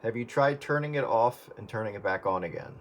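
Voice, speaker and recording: A man's voice: on the low side, a little fast. A man chatting casually as an amateur radio operator over the air. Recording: very noisy radio transmission.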